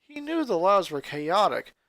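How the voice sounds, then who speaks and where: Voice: medium pitch, very animated, unhurried, fairly bright tone, no room sound, clean studio sound. A narrator reading fan fiction aloud as scripted story narration. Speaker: story reader.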